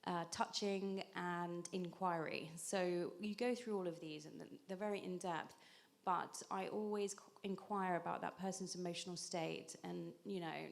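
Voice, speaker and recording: Low-voiced woman explaining with a gravelly edge. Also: steady tone, a little slow. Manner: explanatory, frequent ums and restarts. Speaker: acupuncturist panelist. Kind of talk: conversational panel explanation.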